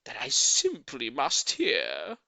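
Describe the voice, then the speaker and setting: Casting: sly, mean storybook villain, played by a man, drawn-out gloating delivery, forceful tone, wildly swinging pitch. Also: huge pitch swings, creaky, no echo, exaggerated voice, poor audio. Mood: gleeful, mocking.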